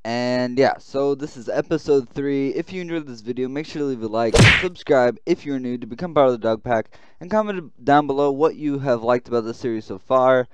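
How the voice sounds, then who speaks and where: A man's voice: mid-range voice, a little fast, hardly any pauses, clean tone, dull audio. A man doing casual let's play commentary as a gaming host, reacting aloud as he plays. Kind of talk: let's play commentary, reading visual novel text aloud. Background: game music.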